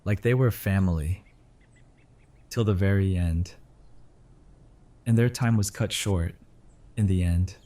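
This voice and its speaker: A man playing a grieving but resolute survivor giving a solemn, halting speech in an audio drama. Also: lowish voice, warm tone. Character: grieving, resolute survivor addressing his community.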